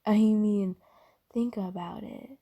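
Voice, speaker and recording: A woman voicing a gentle, caring demon in a soft ASMR roleplay, hushed, breathy and soothing, often slipping into whispers. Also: medium pitch, fairly even intonation, slow pace. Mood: soothing, understanding. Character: gentle, caring female sleep paralysis demon comforting someone.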